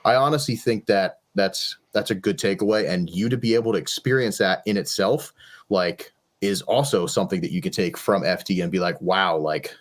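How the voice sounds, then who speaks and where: A man's voice: deep voice, normal speed. A man hosting a casual podcast, encouraging his guest and talking in long reflective runs, swearing now and then. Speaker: podcast host.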